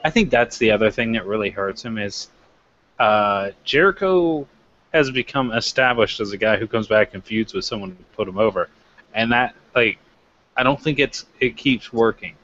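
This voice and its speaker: A man's voice: lowish voice, animated, normal speed, fairly bright tone, muffled recording, a little hiss or hum. A man co-hosting a wrestling review show, giving his commentary in loose, casual chat.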